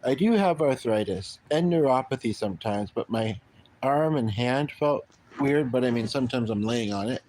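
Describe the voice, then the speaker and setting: A middle-aged man from Canada talks to his livestream viewers in a loose, wandering way. He trails off, starts sentences over and leans on plenty of ums. His voice sits at an ordinary middle pitch for a man. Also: animated, darkish tone, rough recording. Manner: rambling, halting, many ums and restarts. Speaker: livestream host. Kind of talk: casual livestream chat.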